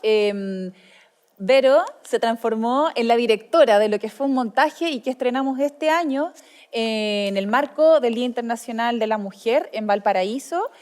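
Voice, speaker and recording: A Chilean woman with a fairly high voice presents at a literary gathering. She talks informally to her audience as host. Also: moderate pace, a little mellow.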